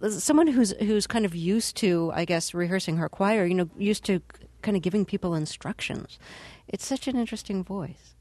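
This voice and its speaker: A woman hosting a radio interview, speaking in a measured, thoughtful way. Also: mid-range voice, darkish tone, no room sound. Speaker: radio host.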